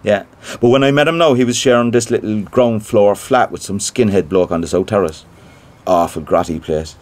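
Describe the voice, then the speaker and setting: A small-time Irish theatre man , acted by a man in a naturalistic audio drama. He has a medium-pitched voice and a Cork accent. His talk is colloquial and gossipy, full of self-importance and a chancer's boasting. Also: darkish tone, a little hiss or hum, natural voice.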